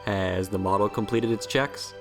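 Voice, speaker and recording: A man's voice: fairly low pitch, steady tone, normal speed, faint music behind, lightly acted. An actor playing a jovial male research scientist who banters with mock indignation in an audio story. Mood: friendly. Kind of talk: audio story character acting.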